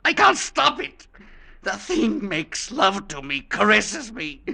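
A man playing a tormented, cynical professor in an old radio drama, with a tense, bitter, dramatic delivery and a creaky edge. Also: sits high, sing-song, takes it slowly, pushed and bright, full character voice. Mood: anguished disgust. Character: tormented, cynical professor haunted by an unseen clinging presence. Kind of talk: 1940s-50s radio drama acting. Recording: vintage radio broadcast sound.

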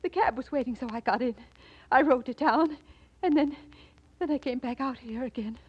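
An actress playing a high-strung, genteel widow with a refined, emotional, dramatic delivery in 1940s radio drama. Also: female voice, normal speed, husky. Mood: tense, confessing. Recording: muffled 1940s radio recording.

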